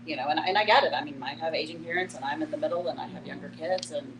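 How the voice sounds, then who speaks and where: Low-voiced middle-aged woman, noisy distant recording. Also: rapid-fire. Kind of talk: casual group discussion. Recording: noisy room, distant mic, hum.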